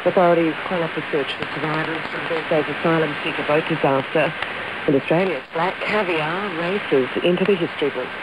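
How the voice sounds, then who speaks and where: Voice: mid-range voice, fairly even intonation, brisk, very noisy. A radio newsreader reading scripted headlines, heard through a shortwave receiver. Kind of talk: scripted radio station promo and news headlines. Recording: shortwave radio reception, music behind.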